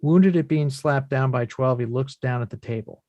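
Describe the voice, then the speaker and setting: A man's voice: mid-range voice, moderate pace, dark tone, dry recording, muffled recording. Man narrating stage directions, dry sound. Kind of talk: scripted narration.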